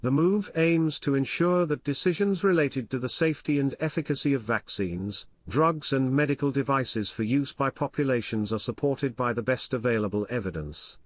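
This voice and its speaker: A man reading a practice passage aloud in a measured, careful way. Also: medium pitch, no echo. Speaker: model reader for exam practice.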